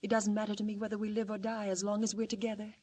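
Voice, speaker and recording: An actress playing a gentle, devoted young mother and wife in pleading 1940s radio acting style. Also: mid-range voice, little pitch movement, very fast, close to an everyday voice. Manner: pleading, theatrical delivery. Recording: noisy vintage radio recording.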